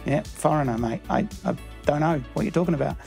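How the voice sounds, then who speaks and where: A middle-aged man with a New Zealand accent talks through an interview in a halting way, full of fillers and restarts. His voice has a gravelly edge, and faint music plays behind him. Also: on the high side, mellow. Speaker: church member.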